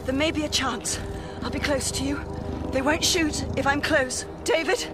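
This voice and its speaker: A woman's voice: high-pitched, fairly even intonation, moderate pace, heavy background noise, close to an everyday voice. A woman playing a young nurse, loving and desperately pleading, in a radio horror drama. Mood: tender, pleading. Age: young adult.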